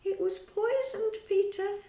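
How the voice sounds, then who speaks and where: A tiny, spirited fairy with a very high, shrill, squeaky voice. Every line sits far above a normal speaking voice. The room around her is echoey. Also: unhurried, dark tone. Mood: weak.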